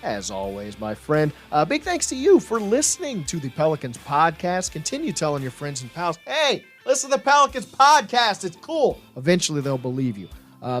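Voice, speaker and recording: A man hosting a sports podcast, joking around playfully with lots of "uh" fillers. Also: on the high side. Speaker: podcast host. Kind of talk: casual sports podcast chat.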